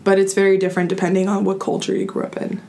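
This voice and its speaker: A woman's voice: medium pitch, completely flat delivery, rapid-fire, vocal fry, warm tone, a little hiss or hum. A young woman from Cuba chatting casually and bluntly as a podcast guest. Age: young adult. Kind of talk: casual chat.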